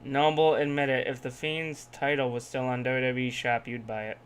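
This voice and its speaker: A man's voice: mid-range voice, level tone, moderate pace, background noise. A man co-hosting a podcast, talking casually and teasingly with plenty of swearing. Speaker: wrestling podcast co-host. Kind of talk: casual group chat.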